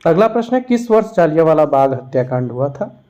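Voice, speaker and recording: A man gives an exam-prep lesson, posing questions and then explaining each answer. His voice sits a little high for a man and has a soft, mellow tone. The recording is somewhat dull and lo-fi. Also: very animated, brisk. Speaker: teacher, exam-prep instructor. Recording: dull, muffled lo-fi sound.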